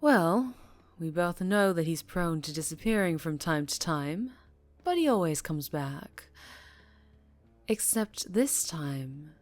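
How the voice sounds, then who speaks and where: A woman acting as a slow, low-voiced female mafia boss. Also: expressive, darkish tone, dry recording, faint music behind. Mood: quietly menacing. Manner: slow, deliberate, polite with veiled menace. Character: dangerously charming, teasing female mafia boss. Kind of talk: ASMR roleplay acting.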